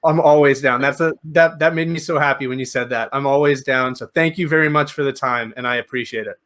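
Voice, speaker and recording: A man's voice: medium pitch, quick pace, fairly bright tone. A man hosting a podcast, chatting casually and conversationally as the interviewer.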